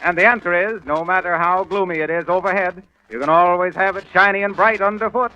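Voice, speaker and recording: A man's voice: high voice, steady tone, brisk. A man announcing in scripted 1930s radio advertising style, with a polished, upbeat salesman delivery. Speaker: radio announcer and sponsor pitchman. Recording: dull, band-limited 1930s radio recording.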